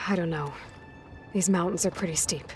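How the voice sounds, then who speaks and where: An actress playing a young woman hunter in a video game, talking calmly and thoughtfully. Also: fairly low pitch, unhurried, soft background music, lightly acted. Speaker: protagonist.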